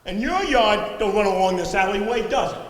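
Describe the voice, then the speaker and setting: A man's voice: high-pitched, animated, fairly quick. An actor playing a mean, grouchy old man who bullies and badgers, in a live stage play. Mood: badgering. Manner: gruff, threatening, interrogating. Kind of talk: live one-act stage play acting. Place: indoor theatre stage, recorded from a distance. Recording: echoey hall stage recording with faint noise.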